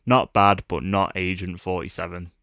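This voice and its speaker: A man's voice: deep voice, flat delivery, moderate pace, fairly bright tone, dry recording, clean studio sound. A man hosting a casual podcast about films, talking in a chatty, jokey, bantering way. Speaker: podcast host. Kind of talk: casual film discussion podcast.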